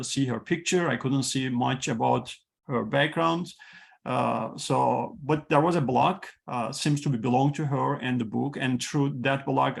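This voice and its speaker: A man whose first language is Turkish, hosting an online talk on a video call and speaking haltingly with many fillers and restarts. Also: medium pitch, gravelly. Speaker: host, institute president.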